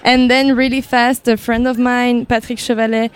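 Giggly French-accented woman, slightly high voice. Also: level tone, normal speed, clean tone. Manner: hesitant, giggly, searching for words. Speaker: musician guest. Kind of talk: radio interview.

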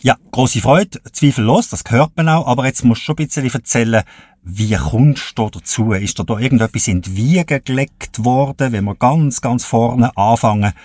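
A man's voice: medium pitch, normal speed, a little mellow, no echo, clean studio sound. A man hosting a radio interview, asking friendly, prompting questions. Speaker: radio host.

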